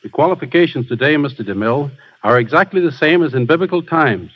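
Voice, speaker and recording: A man's voice: fairly high pitch, expressive, fast talker. A man giving prepared, formal remarks as a guest expert on a radio program. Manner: formal, lecturing. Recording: muffled old radio broadcast.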